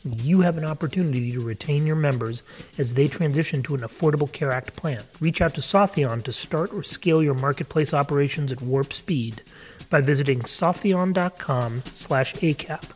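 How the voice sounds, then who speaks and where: A man hosting a podcast, reading a scripted sponsor message and introduction in a measured way. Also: on the high side, soft background music. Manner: measured, spells out web address. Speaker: podcast host.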